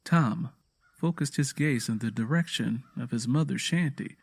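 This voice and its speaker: A man's voice: mid-range voice, normal speed, vocal fry, slightly rough. A man narrating a scripted audio drama in a storytelling style.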